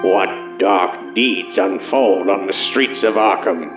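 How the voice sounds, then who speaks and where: A man playing an ominous horror radio narrator with a portentous, drawn-out delivery. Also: low voice, phone-quality sound. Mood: ominous, foreboding. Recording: echoing, band-limited old radio sound with music.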